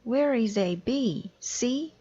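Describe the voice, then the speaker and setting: A woman's voice: fairly high pitch, animated, slow pace, dark tone, lo-fi sound, voice pushed up. A woman reading a children's storybook, voicing a cheerful, literal-minded, scatterbrained housekeeper in an earnest, exclaiming way. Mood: innocent, chirpy. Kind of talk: children's storybook read-aloud.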